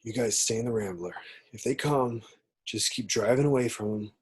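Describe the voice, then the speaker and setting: A hot-headed, brave young college man from a horror film, read by a man at a table read. The voice is husky and unpolished, with a bold, defiant manner. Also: mid-range voice, moderate pace, lightly acted. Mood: determined bravado. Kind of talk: amateur screenplay table read.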